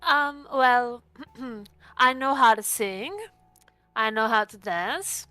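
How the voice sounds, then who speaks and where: Bright, clear female tabletop player. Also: a little high, takes it slowly, no echo, faint music behind. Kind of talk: casual roleplay chat.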